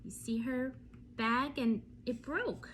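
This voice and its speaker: A woman reading a bedtime story aloud for children, expressive and often turning to ask her young listeners questions. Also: sits high, slow pace, a little hiss or hum.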